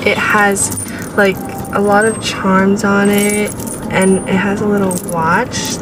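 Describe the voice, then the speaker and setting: A woman's voice: mid-range voice, level tone, slow pace, background noise. A young woman chatting casually in a car for her vlog, bubbly and talkative with plenty of fillers. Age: young adult. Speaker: vlogger. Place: inside a parked car. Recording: background music mixed in.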